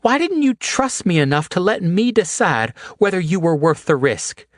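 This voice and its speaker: A man's voice: high voice, big pitch swings, normal speed, no room sound, clean studio sound, voice pushed up. A man voicing a hurt, tearful young woman who pleads and then turns fierce. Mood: pleading.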